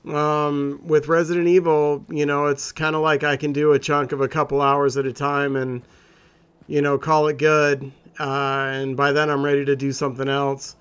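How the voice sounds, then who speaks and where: A man's voice: on the high side, little pitch movement, moderate pace, a bit bright, smooth. A man hosting a gaming channel, chatting casually to viewers in an informal, rambling, conversational way.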